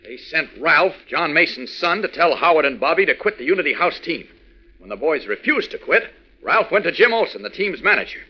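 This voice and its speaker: Urgent, brave reporter-hero, 1940s radio serial, animated delivery, ringing forceful tone. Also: male voice, a little high, fairly quick, slightly rough, full character voice, low-quality recording. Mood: eager, confident. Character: urgent, brave reporter who is secretly a superhero.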